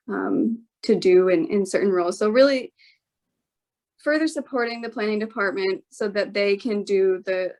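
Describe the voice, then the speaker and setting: Woman, medium pitch, council candidate, earnest forum remarks, halting phrasing. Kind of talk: campaign remarks at a neighborhood forum.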